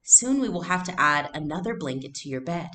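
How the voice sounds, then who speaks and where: Woman reading as a gentle storybook mother. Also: low voice, lively intonation, fairly quick. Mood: gentle teasing. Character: gentle, good-humoured mother of young girls.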